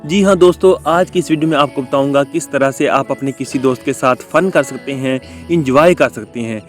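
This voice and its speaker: A man's voice: mid-range voice, fairly quick, soft background music. A man presenting a casual how-to tutorial, often addressing his viewers as friends. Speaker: host of a tech tutorial channel.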